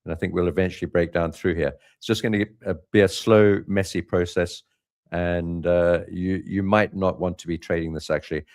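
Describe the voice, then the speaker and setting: Low-voiced man hosting a live trading stream. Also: vocal fry. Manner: relaxed, rambling with frequent fillers and restarts. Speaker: trading channel host. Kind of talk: live market update with co-host.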